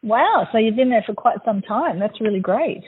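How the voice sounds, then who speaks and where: A woman hosting a travel radio show, asking an interviewee questions in a friendly, measured way. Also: a little high, lively intonation, breathy edge, narrow, tinny audio.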